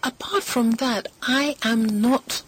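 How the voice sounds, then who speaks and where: A middle-aged woman, born in Britain, talking as an author guest on a radio talk show, telling her story in a lively way with frequent laughter. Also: a little high, slow, husky.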